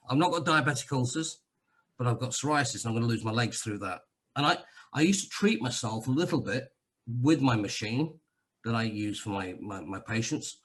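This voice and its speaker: Husky-voiced Englishman telling his story. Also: medium pitch, fairly bright tone. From England. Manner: chatty, self-deprecating, rambling with restarts. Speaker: guest sharing a testimonial. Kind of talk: casual video-call chat. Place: Cyprus.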